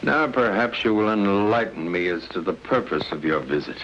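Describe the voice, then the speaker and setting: Bitter, reclusive villain in vintage radio mystery. Low register, icy courtesy, noisy old recording. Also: male voice, expressive, a bit bright, lo-fi sound. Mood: bitter, guarded. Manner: coldly formal, measured. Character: reclusive, bitter, menacing fire-scarred divorced man. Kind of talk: 1940s-50s radio mystery acting.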